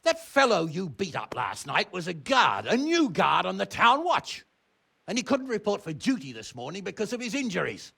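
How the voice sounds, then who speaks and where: Exasperated noble uncle in a medieval game, blunt n sarcastic, gravelly, sharp edge to it. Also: male voice, high-pitched, wildly swooping pitch, normal speed, heavily acted. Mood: angry scolding. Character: stern, exasperated noble uncle scolding his wayward ward. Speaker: medieval lord and guardian. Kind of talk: video game voice acting.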